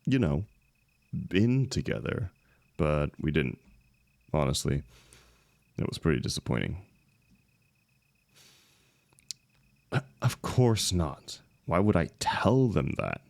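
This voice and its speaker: Very deep, gravelly young heartbreaker, acted by a man. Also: sing-song, darkish tone, dry recording, exaggerated voice. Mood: disappointed, casual. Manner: casual, halting, emotional, swinging emphasis. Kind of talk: intimate one-sided audio roleplay.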